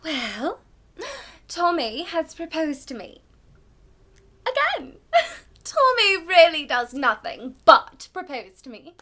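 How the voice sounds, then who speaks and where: A woman's voice: high-pitched, very animated, takes it slowly, pauses often, pushed and bright, exaggerated voice. A woman performing a witty, pampered young society lady in a comic stage monologue, with an arch, mock-indignant manner and formal phrasing. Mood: exasperated, arch.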